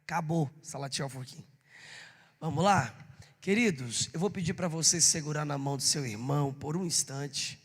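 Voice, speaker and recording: A hoarse man, a pastor, leading a church service, urging the congregation and calling out to them. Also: on the high side, normal speed, long reverb tail. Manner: exhorting the congregation, calling out to the crowd. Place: church hall. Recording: live church service with music.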